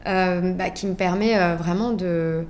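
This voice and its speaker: A woman from France, a podcast guest speaking in a candid, lively way and quick to laugh in casual conversation. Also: fairly low pitch.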